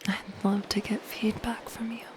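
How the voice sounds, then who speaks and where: A woman voicing a soft-spoken, possessive and lonely siren in an ASMR roleplay, in a breathy, gentle, intimate whisper. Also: mid-range voice, flat delivery, close to an everyday voice. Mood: hopeful, shy. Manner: slow, gentle, intimate delivery. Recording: close whisper under ocean surf noise. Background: ocean waves and surf.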